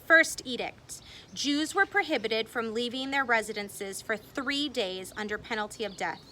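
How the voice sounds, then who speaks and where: Bright-voiced woman reading aloud. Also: fairly high pitch, normal speed, a little hiss or hum. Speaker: reader. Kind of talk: expressive read-aloud of a memoir.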